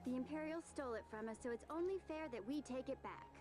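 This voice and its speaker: A woman's voice: sits high, quick pace, music in the background, lightly acted. A woman voicing a caring, chiding teenage orphan girl in a video game, earnest and youthful. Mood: teasing, chiding. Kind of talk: voice acting in a game cutscene.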